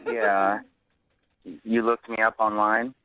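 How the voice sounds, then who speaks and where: A man speaking casually as a phone guest on a radio show, in a halting, earnest way. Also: lowish voice, little pitch movement, sharp tone. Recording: thin band-limited phone line.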